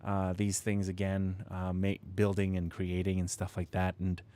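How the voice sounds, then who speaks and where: A man's voice: deep voice, monotone, moderate pace, dark tone. A man hosting a live stream from his home setup, chatting casually to viewers with lots of restarts and fillers, close to his microphone. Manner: rambling, many restarts and fillers, chuckles often. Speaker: livestream host building model kits. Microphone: close studio microphone with proximity effect.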